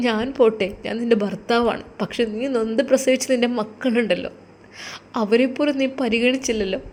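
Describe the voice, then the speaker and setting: A woman voicing a grieving young husband who speaks softly and pleadingly to the wife who left him. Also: fairly high pitch, slight background noise, lightly acted. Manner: soft, heartbroken, pleading.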